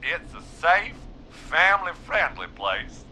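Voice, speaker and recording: A man's voice: a little high, very animated, takes it slowly, pushed and bright, a little hiss or hum, exaggerated voice. A man playing a shamelessly self-promoting pizza shop owner in a video game, theatrical and falsely modest. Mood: oily, promotional.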